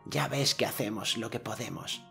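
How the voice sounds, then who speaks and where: Guy doing a creaky old town elder, polite and apologetic, storybook style, soft music behind. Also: medium pitch, moderate pace, voice pushed up. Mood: apologetic, courteous. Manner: formal, sighing, humble. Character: elderly silver male city elder, apologetic and courteous. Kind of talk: audiobook character voice.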